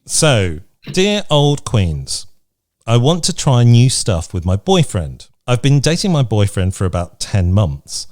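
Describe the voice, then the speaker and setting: A man's voice: mid-range voice, sing-song, unhurried, darkish tone. A man co-hosting a casual advice podcast, chatting candidly and conversationally and reading out listener questions. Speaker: podcast co-host. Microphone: remote recording from separate location.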